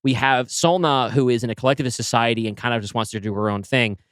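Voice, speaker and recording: A man's voice: mid-range voice, steady tone, fast talker, a bit bright, clean tone, dry recording. A man co-hosting a podcast, talking through his ideas in a plain mid-range voice. He circles back and restarts as he thinks aloud. The sound is close and dry, with no room around it. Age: adult, married. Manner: thoughtful, explains his creative choices with many restarts. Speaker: podcast co-host, writer of the story. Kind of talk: casual podcast discussion.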